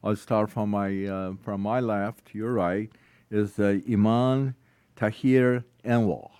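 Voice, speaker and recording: A man moderating a hearing, introducing speakers in a halting, friendly way with many hesitations. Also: on the low side, fairly even intonation, darkish tone, clear, resonant tone, no room sound.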